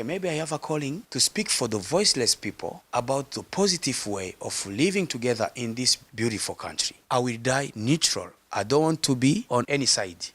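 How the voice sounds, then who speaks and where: A man, a singer, telling his own story in an earnest, measured interview. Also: medium pitch, a bit bright.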